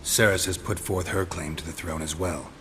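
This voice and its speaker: An actor playing a stoic, world-weary monster hunter in a video game, with a terse, deadpan delivery. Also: male voice, low voice, normal speed, soft background music. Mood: matter-of-fact.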